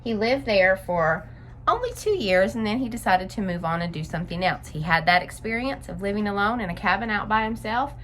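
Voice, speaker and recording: Expressive woman reading to children, lively pitch. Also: brisk. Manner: expressive, instructive, addressing young listeners directly. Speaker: story time host reading to children. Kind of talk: read-aloud storytelling and simple explanation for children.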